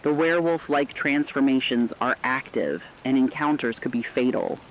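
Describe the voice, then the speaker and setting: A man playing an earnest radio co-anchor, giving scripted, urgent warnings in a serious, deliberate manner. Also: mid-range voice, dull audio, slight background noise, natural voice. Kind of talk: scripted dramatic news narration.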